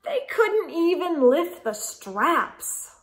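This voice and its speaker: A woman's voice: extremely high pitch, sing-song, extremely slow, pitched above the performer's own voice, heavily acted. A woman voicing an eager, easily exasperated Santa Claus telling his storybook tale with emphatic exclamations. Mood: dramatic recounting. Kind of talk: storybook narration in first person. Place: indoor room lined with bookshelves.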